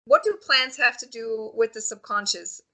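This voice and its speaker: A woman's voice: fairly high pitch, normal speed, bright, forceful tone. A woman hosting a podcast, opening the episode and asking her guests questions in a friendly manner. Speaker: podcast host.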